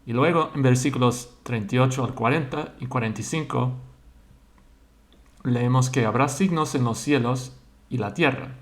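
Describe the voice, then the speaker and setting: A man, a church member slowly sharing his religious thoughts and pausing to search for words in a language he learned later. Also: mid-range voice. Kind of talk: slow personal religious monologue.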